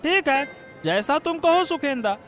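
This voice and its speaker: A high, slow-talking cartoon fruit seller played by a man, chatty and curious. He repeats himself and exclaims, with music underneath. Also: expressive, fairly bright tone, full character voice. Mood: gossipy, surprised. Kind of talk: cartoon story dialogue.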